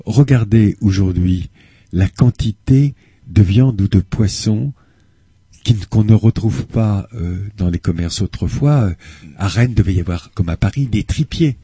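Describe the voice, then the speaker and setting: An older man speaking as an association spokesman in a radio interview, measured and hesitant, with frequent pauses. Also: on the low side, lively intonation, mellow, slightly rough, dry recording. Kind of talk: earnest radio interview answers. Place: radio studio. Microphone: studio microphone.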